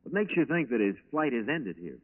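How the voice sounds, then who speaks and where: Brisk official in charge, rapid formal radio-drama delivery, narrow-band vintage recording. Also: male voice, mid-range voice, big pitch swings. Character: brisk, formal male Omaha bureau chief. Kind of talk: 1940s radio drama acting. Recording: muffled, very noisy old radio recording.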